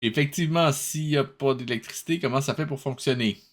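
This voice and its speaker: Homme québécois au ton sarcastique. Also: male voice, mid-range voice, a little fast, very noisy. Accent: Quebec French. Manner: sarcastic, nitpicking, mixes in English phrases. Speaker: host of a cartoon review show. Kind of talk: sarcastic reaction commentary.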